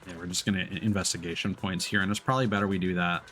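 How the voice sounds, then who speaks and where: Low-pitched man casually chatting on a game stream. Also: steady tone, very fast, fairly bright tone, soft background music. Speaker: video game livestreamer. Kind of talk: casual livestream chat and game commentary.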